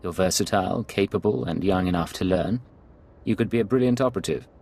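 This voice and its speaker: An actor playing a composed imperial intelligence agent in a video game, formal and controlled in speech. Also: male voice, deep voice, fast talker, vocal fry, darkish tone, slight background noise. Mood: firm, reassuring.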